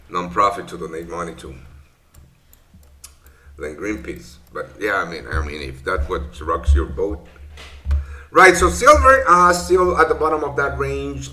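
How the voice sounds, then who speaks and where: Bright-voiced man. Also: medium pitch, a little hiss or hum. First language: Spanish. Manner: rambling, many fillers and self-corrections. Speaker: trading session host. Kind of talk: casual live-stream market commentary.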